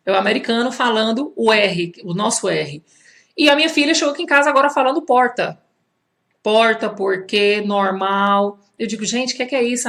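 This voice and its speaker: A woman's voice: medium pitch, fairly bright tone. Woman with a Cearense accent teaching. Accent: Cearense Brazilian Portuguese. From Fortaleza, Brazil. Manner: conversational, rhetorical questions, many filler restarts. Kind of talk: teaching monologue to camera.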